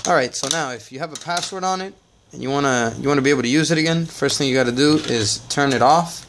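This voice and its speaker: Male tech-tutorial host, casual and plain, middle pitch, faint background noise. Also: fast talker. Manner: relaxed, plain-spoken, frequent "uh" and "all right". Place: indoors at a table, off camera.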